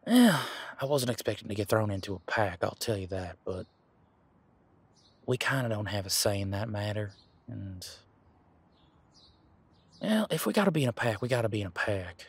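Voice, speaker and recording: A man playing an earnest, teasing werewolf boyfriend in an intimate roleplay audio drama, speaking casually and haltingly with a husky voice. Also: fairly low pitch, big pitch swings, exaggerated voice. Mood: reflective, gentle. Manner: casual, halting, conversational with trailing pauses. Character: male werewolf boyfriend, earnest, hopeful, teasing former bodyguard.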